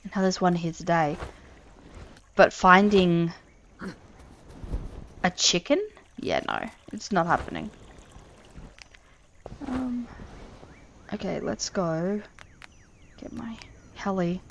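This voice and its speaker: Low-voiced Australian woman, rambling. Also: big pitch swings, slow, gravelly, long pauses, highs cut off, slight background noise. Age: 30s. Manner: rambling, earnest, trailing off mid-sentence. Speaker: gaming channel host. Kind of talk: casual gameplay commentary.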